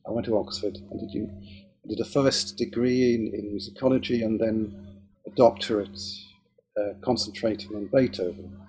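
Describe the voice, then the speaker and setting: A man's voice: lowish voice, moderate pace, a little mellow, poor audio. An older man from Israel, a guest in an interview, talking with many fillers and restarts.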